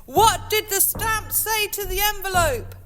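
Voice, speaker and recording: A woman voicing a frightened ragged boy comic shouting a joke. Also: a little slow, soft background music. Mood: desperate. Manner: shouted high.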